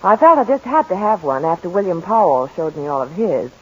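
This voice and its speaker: A woman chatting brightly in a scripted radio intermission. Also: lowish voice, big pitch swings, fairly quick, breathy edge. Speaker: intermission guest. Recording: lo-fi 1940s radio broadcast.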